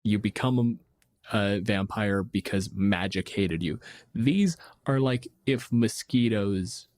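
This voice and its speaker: A man with a fairly low voice hosts a casual podcast. He explains things in detail with wry emphasis and some swearing. The sound is dry and close. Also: no room sound. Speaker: podcast host.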